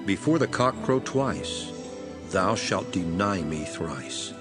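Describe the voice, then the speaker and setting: A man playing a solemn, authoritative, sorrowful teacher in a dramatized scripture reading, declaiming in a measured way. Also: low voice, lively intonation, music in the background. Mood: grave.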